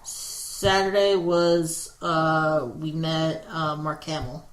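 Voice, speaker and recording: A man co-hosting a podcast, chatting casually and telling stories in a rambling, hesitant way full of pauses. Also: on the high side, flat delivery. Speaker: podcast co-host. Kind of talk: casual chat. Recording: roomy echo, faint background noise.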